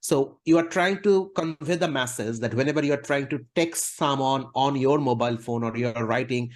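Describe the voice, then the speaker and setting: A man with a mid-pitched voice, leading an interview. He speaks politely and at length, often restating what his guest has just said. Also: moderate pace. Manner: polite, summarizing and wordy. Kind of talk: casual interview.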